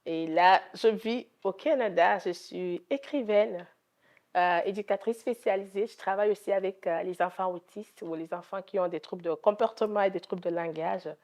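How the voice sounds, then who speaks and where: A woman's voice: medium pitch, normal speed, darkish tone. A woman from Togo with a Togolese French accent, answering interview questions in an earnest, devout way as a gospel singer.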